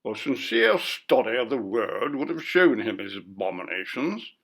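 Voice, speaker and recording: A man acts out a bold, pompous gentleman from a storybook ghost tale. He speaks in emphatic, old-fashioned phrases with a gravelly creak, full of bluster and scorn. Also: mid-range voice, sing-song, normal speed, heavily acted. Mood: stern indignation. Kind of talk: dramatised ghost story reading.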